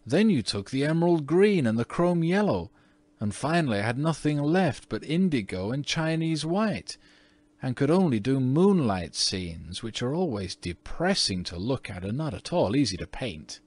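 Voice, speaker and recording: A man's voice: fairly low pitch, very animated, unhurried. A man voicing a prim, spirited young American girl in a storybook, earnest and scolding. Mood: indignant. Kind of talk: storybook character reading.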